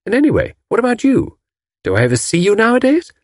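A man's voice: sits high, wildly swooping pitch, quick pace, no echo, clean studio sound, voice pushed up, heavily acted. Man doing a cheeky young Englishwoman. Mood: teasing. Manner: bright, playful, teasing.

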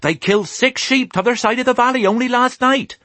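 High farm missus, all in a flap, broad dialect. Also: male voice, a little fast, fairly bright tone, dry recording. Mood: worried. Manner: broad rural dialect, breathless busy chatter. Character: fussing country farmer's wife, middle-aged, chatty.

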